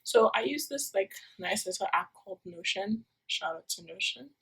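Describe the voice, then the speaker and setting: A woman's voice: mid-range voice, expressive, moderate pace, creaky, a bit bright, slightly rough, rough recording. A young woman from Nigeria, a university student, rambling through a casual vlog with lots of fillers, recorded on a phone taped to the wall of her room. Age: young adult. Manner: rambling, many fillers and self-corrections.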